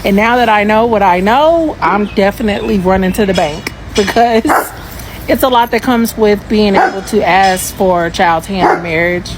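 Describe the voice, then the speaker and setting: A woman's voice: medium pitch, moderate pace, noisy background. An American woman carefully telling a personal story about her marriage customs. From United States. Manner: careful, explanatory.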